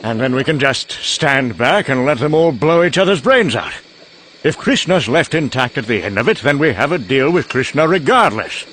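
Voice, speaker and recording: Casting: male actor as vain, unhinged scientist, rambling and excitable, very lively pitch swings, motion-comic drama, noisy background. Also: normal speed. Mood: manic, urgent. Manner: rambling, excitable, self-congratulating. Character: mad, vain, rambling British male scientist narrating doom.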